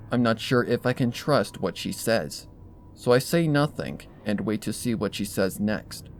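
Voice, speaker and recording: A man's voice: medium pitch, a little mellow. A man reading a scripted first-person horror fan story as its narrator. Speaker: fan fiction reader.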